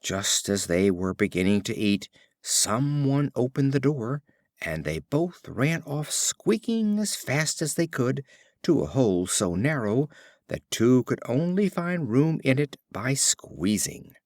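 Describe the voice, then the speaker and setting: A man narrating fables as an audiobook reader, with a measured, expressive storytelling delivery. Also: mid-range voice, no room sound, clean studio sound.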